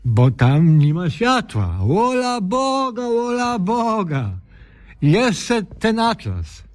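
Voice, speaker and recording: A man's voice: high-pitched, sing-song, very slow, exaggerated voice. An actor playing a flustered, plaintive elderly watchman in a radio comedy sketch, with muddled rustic speech. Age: older. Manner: rustic, ungrammatical, lamenting exclamations.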